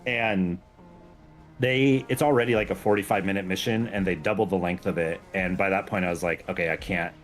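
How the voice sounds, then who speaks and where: A man's voice: low voice, steady tone, a little fast, soft background music. A man talking casually and enthusiastically on a gaming stream, explaining game details in a rambling way. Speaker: game mod creator guesting on a stream.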